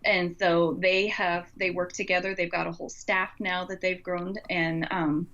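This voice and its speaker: A woman's voice: fairly low pitch, flat delivery, normal speed, fairly bright tone, highs cut off. A woman chatting informally and enthusiastically, recommending things in casual conversation.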